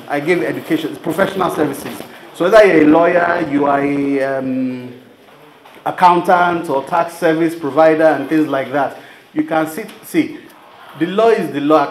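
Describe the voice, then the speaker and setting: A Nigerian man with a fairly high voice presents to a live audience in a conversational, storytelling way. He leans on rhetorical questions and speaks informally. The room rings around his voice, with some faint noise behind it. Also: normal speed. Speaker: presenter of a business training program. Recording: roomy venue sound with background noise.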